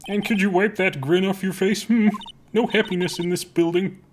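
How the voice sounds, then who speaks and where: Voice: medium pitch, steady tone, moderate pace, slight background noise, natural voice. A streamer playing an earnest young male defense lawyer in a live game dub, with dry, sarcastic asides. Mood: wry, matter-of-fact. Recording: music playing behind the voice.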